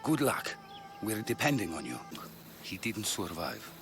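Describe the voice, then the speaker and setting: An actor playing a dedicated, brisk ancient Greek physician in a video game, earnest and emphatic in the way he talks. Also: lowish voice, very animated, over music. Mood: urgent, grave.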